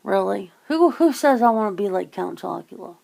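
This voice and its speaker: A woman's voice: medium pitch, animated, normal speed, creaky, a little mellow. A woman having a casual phone chit-chat, rambling and teasing, with some casual swearing. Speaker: ASMR channel host.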